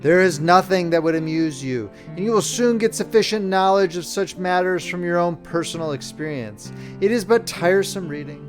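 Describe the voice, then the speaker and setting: A man's voice: sits high, moderate pace, hardly any pauses, close to an everyday voice. Storybook Old Year read by a man: tired older sister, heavy and grave, plucked guitar underneath. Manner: heavy, grave, world-weary. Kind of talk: storybook character reading.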